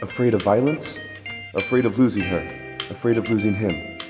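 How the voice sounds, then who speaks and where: A man's voice: lowish voice, moderate pace, natural voice. A man playing a husband's anxious private thoughts, fretful and repetitive, in a radio play. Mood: afraid. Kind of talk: radio drama acting. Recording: reverberant, clear music underneath.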